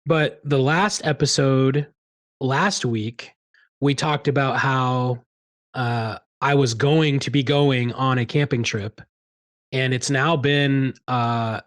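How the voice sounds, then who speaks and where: A man with a mid-range voice hosts a podcast, opening it with a set welcome and then chatting casually. He talks in a relaxed, unhurried way, pausing often on fillers as he tells a story. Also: medium pitch. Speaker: podcast host. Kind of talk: casual chat.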